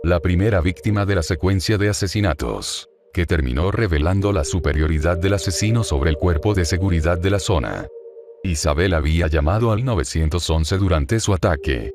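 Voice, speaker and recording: A man narrating a scripted true-crime horror story in a grave, measured, formal manner. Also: sits low.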